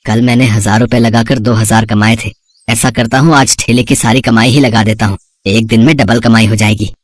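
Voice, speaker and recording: A man voicing an earnest, worried father who runs a street food cart, gentle and reflective, in a moral story. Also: fairly low pitch, fairly even intonation, moderate pace, dry recording, close to an everyday voice. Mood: musing. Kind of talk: Hindi moral story voice acting.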